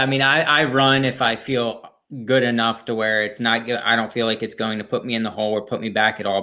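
Man, mid-pitched voice, easygoing, rambling, self-deprecating, casual podcast talk. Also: sharp tone. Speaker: podcast co-host. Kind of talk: casual chat.

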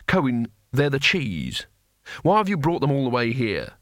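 Man playing a nervous, wry wizard, very animated. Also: medium pitch, normal speed, no echo, clean studio sound. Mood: exasperated. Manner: hesitant, wry, questioning. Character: nervous, doubtful, sarcastic cowardly male wizard.

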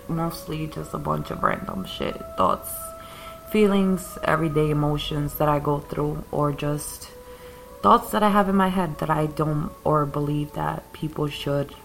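A woman's voice: deep voice, unhurried, vocal fry, slight background noise. A woman hosting her own podcast, talking in a rambling, ranting way about spirituality and growth, now and then coughing as she smokes. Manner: rambling, ranting, self-described calming voice; occasional coughs while smoking. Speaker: podcast host. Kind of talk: casual spiritual monologue.

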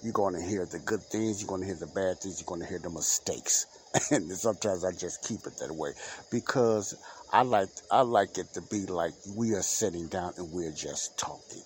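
A man's voice: low voice, normal speed, slight background noise. A man hosting his own unedited solo podcast, talking loosely with lots of fillers and repeats, his nose stuffy and congested. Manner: rambling, filler-heavy, repeats himself. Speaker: podcast host. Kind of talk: unscripted solo podcast talk. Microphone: home podcast mic, unedited.

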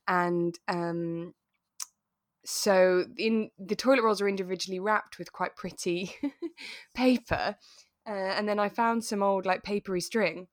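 A British woman co-hosting a podcast in a relaxed, chatty way, talking casually as if with a friend. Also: medium pitch, a little slow, dry recording, studio quality. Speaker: podcast co-host. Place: remote internet recording, dry room.

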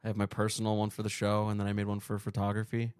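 A man's voice: fairly low pitch, monotone, rapid-fire, a little mellow, smooth, no echo, studio quality. A man co-hosting a casual podcast, rambling and laughing between restarts. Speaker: podcast co-host. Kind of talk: casual chat.

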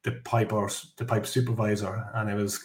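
A man's voice: on the low side, little pitch movement, a little fast. An Irish man in his forties, a podcast guest telling his story in a chatty, rambling way with many fillers and restarts. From Ireland. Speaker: guest, construction business director. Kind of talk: casual podcast interview. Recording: echoey room.

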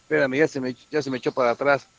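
A man's voice: medium pitch, flat delivery, rapid-fire, creaky, dull audio. A middle-aged man with a Mexican accent co-hosting a casual radio chat full of reminiscing. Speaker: radio co-host. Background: background music.

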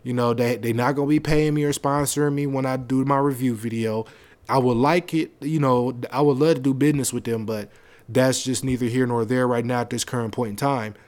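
A man with a naturally deep voice, hosting his own podcast in loose, slangy, rambling talk into a close microphone at home. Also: fairly even intonation, fairly quick, darkish tone. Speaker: podcast host. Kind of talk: casual solo podcast talk. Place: home setup, seated on a leather seat.

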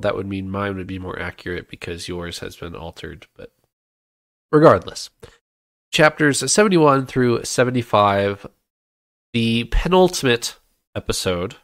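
A fairly low-voiced man hosting a relaxed podcast chat, a little nasal because he is fighting off a cold. He steers the talk and passes turns to his co-hosts, and the sound is dry and close with almost no room. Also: no room sound. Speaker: podcast host.

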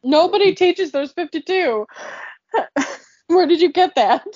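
A woman's voice: very high-pitched, expressive, takes it slowly, a little mellow, no echo. An American woman co-hosting a casual chat, teasing and matter-of-fact, laughing often. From United States. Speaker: podcast co-host. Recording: dry, dull lo-fi sound.

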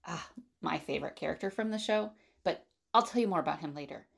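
A woman playing a brisk, take-charge leader of a fugitive team in an audio drama, with a creaky voice. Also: lowish voice, lively intonation, heavily acted. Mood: commanding.

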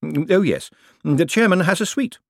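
A man's voice: high voice, animated, normal speed, creaky, no room sound, clean studio sound, pitched above the performer's own voice, full character voice. A man playing a humming, officious bank clerk. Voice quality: habitual "mm" hum between phrases. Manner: pedantic, formal. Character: stiff, formal male bank clerk, officious. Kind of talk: audiobook character dialogue.

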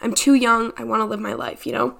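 A young woman in her late teens talks as a podcast host in a fairly high voice with plenty of vocal fry. She sounds slightly stuffed up from congestion. Her delivery is chatty and informal, like a personal monologue with frequent filler phrases. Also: little pitch movement, brisk, fairly bright tone. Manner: chatty, informal, peppered with "like" and "you know".

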